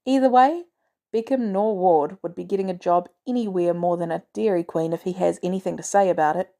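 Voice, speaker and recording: A woman's voice: fairly low pitch, brisk, gravelly, warm tone. A woman reading a scripted story aloud as a narrator. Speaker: fan fiction podfic reader.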